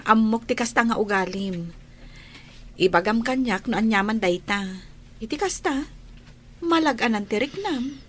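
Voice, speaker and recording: A woman's voice: fairly high pitch, animated, normal speed, pauses often, fairly bright tone. A woman playing a caring, probing young wife in a radio drama. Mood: concerned, probing. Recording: faint background ambience noise.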